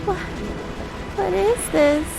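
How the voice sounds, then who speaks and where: A woman livestreaming a video game, chatting casually with viewers and reacting in an animated, giggly way. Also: extremely high pitch, slow pace, gravelly, low-quality recording. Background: video game music.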